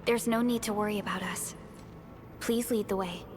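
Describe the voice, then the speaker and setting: An actress playing a composed, reassuring female mission advisor in a video game, speaking in a measured, formal way. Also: mid-range voice, fairly even intonation, very noisy, close to an everyday voice. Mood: calm resolve. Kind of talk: dramatic video game voice acting. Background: game music.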